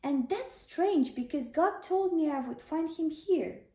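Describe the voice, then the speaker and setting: Solemn prophet for a children's tale, played by a woman: measured, decisive, mellow, slightly off-mic. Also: high-pitched, lively intonation, pitched above the performer's own voice. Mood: regretful, puzzled. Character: solemn male prophet judging future kings. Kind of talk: children's storybook character. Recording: roomy, distant microphone.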